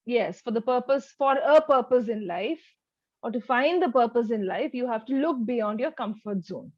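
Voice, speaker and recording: High-pitched woman tutoring spoken English. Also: expressive, normal speed, dry recording, dull audio. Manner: instructive, demonstrates sentences with exaggerated intonation. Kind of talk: one-to-one speaking lesson.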